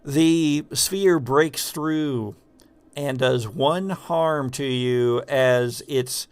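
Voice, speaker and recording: A man improvising narration as game master of a tabletop game, telling it haltingly and dramatically with drawn-out pauses. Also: mid-range voice, smooth. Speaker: game master of a tabletop role-playing show.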